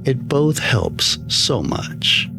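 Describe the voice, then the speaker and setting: A man reading a scripted first-person horror story in a measured, deliberate way, with pauses between phrases. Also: medium pitch, big pitch swings, gravelly, over music. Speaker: storyteller, channel narrator.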